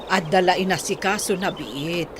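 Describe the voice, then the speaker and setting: A woman's voice: on the low side, moderate pace, background noise, natural voice. A woman playing an evasive, exasperated married mother in an audio drama.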